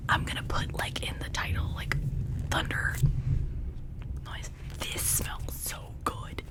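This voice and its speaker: An ASMR creator whispering an informal, rambling chat in a breathy, unvoiced voice, gushing softly over cute things. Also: takes it slowly.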